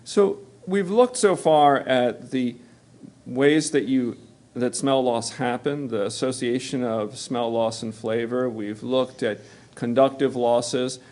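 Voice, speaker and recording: A middle-aged American man with a measured, explanatory manner, giving a lecture at a medical seminar. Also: medium pitch, a little mellow. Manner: measured explanatory delivery, occasional fillers. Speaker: physician presenting at a medical seminar. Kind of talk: lecture to health care workers.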